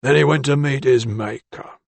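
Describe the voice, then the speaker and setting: A man's voice: medium pitch, normal speed, creaky, no echo. A man voicing a timid, grasping ironmonger with a thin, bleating voice and a wheedling, deferential manner. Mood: sly. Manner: wheedling and deferential, keeps saying sir. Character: timid, grasping male shopkeeper, working class.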